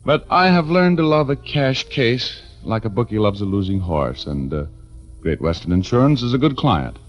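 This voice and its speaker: A man's voice: medium pitch, very animated, moderate pace, highs cut off, lightly acted. An American man playing a wry, easygoing private detective, narrating in breezy 1940s radio drama style with folksy hesitations. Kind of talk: 1940s radio acting, first-person narration. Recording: vintage radio broadcast, faint music.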